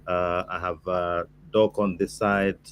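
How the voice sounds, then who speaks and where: A man from Zambia leading a mock interview panel, talking in a relaxed, hesitant way with many fillers. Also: low-pitched, slow, slight background noise.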